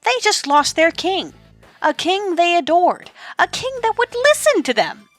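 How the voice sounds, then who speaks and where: A woman voicing a proud, indignant queenly goddess in an audio drama, speaking sharply and forcefully. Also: very high-pitched, big pitch swings, a little slow, soft background music, voice pushed up, exaggerated voice. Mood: impassioned, pleading.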